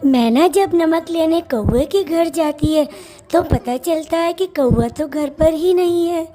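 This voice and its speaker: A woman's voice: very high-pitched, warm tone. A woman narrating a scripted children's story.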